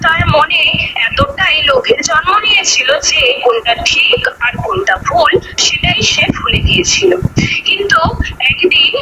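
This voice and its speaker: A woman's voice: extremely high pitch, animated, a little slow, music in the background, low-quality recording. A woman reading scripted narration for a moral story, with noise behind her voice.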